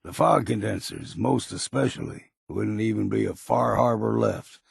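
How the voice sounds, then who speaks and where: Gravelly old island hunter. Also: male voice, fairly low pitch, moderate pace, no room sound, clean studio sound, exaggerated voice. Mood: grave, instructive. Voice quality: gravelly, raspy. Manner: curt, folksy, plain-spoken. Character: gruff, hard-drinking old male island hunter and guide, wary but loyal. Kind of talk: video game character dialogue.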